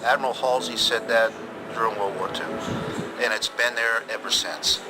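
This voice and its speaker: A man's voice: medium pitch, steady tone, normal speed. An American man speaking informally as a navy interviewee, explaining from his own experience. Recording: clear hum of background ambience.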